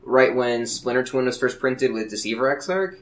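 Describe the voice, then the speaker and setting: A man's voice: mid-range voice, expressive, moderate pace. Man explaining things casually, mid-range voice. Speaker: podcast panelist. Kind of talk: casual hobby podcast chat.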